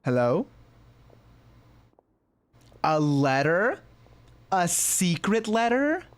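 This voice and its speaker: A woman giving casual gameplay commentary as a streamer, reacting in an expressive, sympathetic and jokey way. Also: fairly low pitch, drawn-out words.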